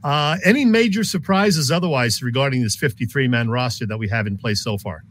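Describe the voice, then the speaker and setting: A man's voice: medium pitch, animated, fairly quick, a bit bright, a little hiss or hum. A man hosting a sports show, talking and putting questions to a guest in a casual interview style.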